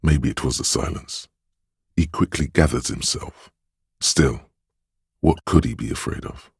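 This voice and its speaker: An actor voicing a game's inner voice of empathy, a grave, compassionate narrator with a husky, gravelly voice and a measured, solemn, intimate delivery. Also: male voice, a bass voice, no echo, studio quality, heavily acted. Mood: grave, sorrowful. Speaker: game narrator. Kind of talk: scripted second-person game narration.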